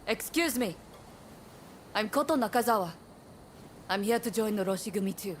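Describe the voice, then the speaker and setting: An actress playing a bold, confident young swordfighter in a game cutscene, polite yet challenging. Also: female voice, mid-range voice, expressive, normal speed, a little hiss or hum, close to an everyday voice. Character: bold, confident female swordfighter eager to prove herself. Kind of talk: scripted game cutscene acting.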